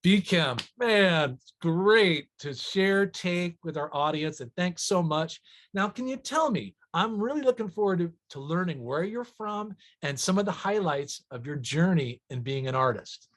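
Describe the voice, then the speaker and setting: A man's voice: sits high, lively intonation, unhurried, a bit bright. A man from Los Angeles hosting an interview, chatting casually and praising his guest effusively. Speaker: interviewer host.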